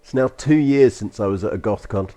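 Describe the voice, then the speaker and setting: A man's voice: fairly low pitch, brisk, dark tone, soft background music. A man with a London accent chatting casually while streaming a strategy game, rambling with frequent ums and uhs. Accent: London English. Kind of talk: casual gameplay commentary to stream chat.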